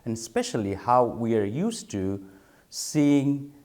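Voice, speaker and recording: A man's voice: medium pitch, big pitch swings, slow, darkish tone. A man from Bangladesh, a photographer talking thoughtfully in an interview, often stopping to repeat words as he searches for them. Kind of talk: reflective interview talk.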